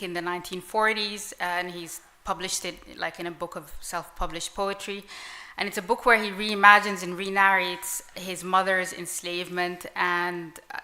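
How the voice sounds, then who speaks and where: A woman whose first language is Arabic, speaking thoughtfully on a live panel with many pauses and restarts. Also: on the low side, sharp tone. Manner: reflective, hesitant, many fillers and restarts. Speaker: panelist author. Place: literary event with audience.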